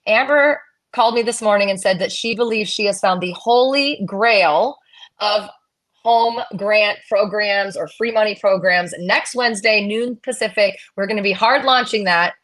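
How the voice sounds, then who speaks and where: A woman's voice: mid-range voice, normal speed, fairly bright tone. A woman hosting a live Q&A in a casual, chatty and playful way, with a promotional streak. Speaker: host of a live real estate Q&A.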